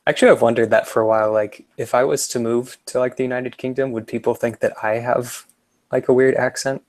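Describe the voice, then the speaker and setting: A man's voice: medium pitch, fairly even intonation, a little fast. A man from the United States on a group video call, curious and friendly, asking lots of questions and saying "like" often. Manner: curious, asks lots of questions, uses "like" a lot. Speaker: call participant. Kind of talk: casual online group chat.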